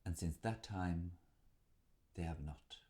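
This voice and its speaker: A man with a fairly low voice tells a folk tale. His narration is theatrical and measured, shaped like spoken storytelling for listeners. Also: mellow.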